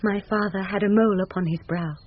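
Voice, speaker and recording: An actress with a British accent playing an earnest young gentlewoman disguised as a boy in a radio Shakespeare play. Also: mid-range voice, steady tone, fast talker, a little hiss or hum, natural voice. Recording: muffled old radio broadcast recording.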